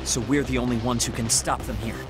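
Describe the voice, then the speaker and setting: An actor playing a proud, insecure young soldier in an anime video game, curt and defensive. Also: male voice, medium pitch, steady tone, fairly quick, lightly acted. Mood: urgent, resolute. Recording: loud music under voice. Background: game soundtrack music.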